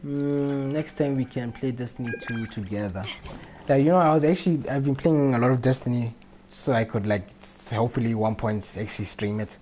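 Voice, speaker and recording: A man's voice: mid-range voice, brisk, warm tone, slight background noise. A man chatting casually on a gaming livestream, rambling and repeating himself as he goes. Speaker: live streamer.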